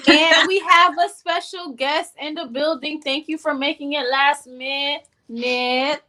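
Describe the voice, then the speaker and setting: A woman's voice: high-pitched, steady tone, slow, pushed and bright. A young woman hosting a casual chat show in a playful, slangy, joking manner. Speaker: podcast host.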